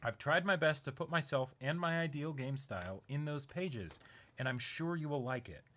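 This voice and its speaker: A man's voice: a little high, moderate pace, a bit bright. A young man co-hosting an unboxing show, chatting casually and sometimes reading aloud. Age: young adult. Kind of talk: casual chat, some letter reading. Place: indoor room, seated.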